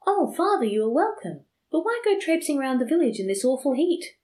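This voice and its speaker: A woman voicing a flirtatious, sharp-tongued peasant wife who bargains and scolds. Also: fairly high pitch, lively intonation, brisk, pitched above the performer's own voice, natural voice. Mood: teasing.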